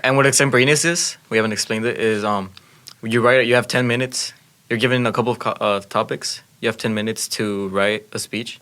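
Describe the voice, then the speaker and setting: Teen male student, native Spanish speaker, deep monotone delivery, earnest and explanatory. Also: brisk. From Mexico. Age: teens. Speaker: student speech competitor. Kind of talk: casual chat.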